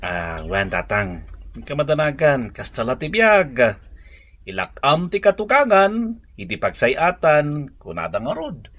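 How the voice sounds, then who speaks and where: An actor playing a generous, dutiful grown son with an Ilocano accent in a radio drama. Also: male voice, on the high side, huge pitch swings, unhurried, a bit bright, lo-fi sound, full character voice. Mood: kindly.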